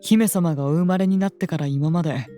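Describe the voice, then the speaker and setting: A woman playing a composed, devoted royal attendant in an audio drama, speaking politely and formally with gentle admonishment. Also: on the low side, faint music behind, natural voice. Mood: tender, earnest. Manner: polite, measured, formal speech. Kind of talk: scripted audio drama acting.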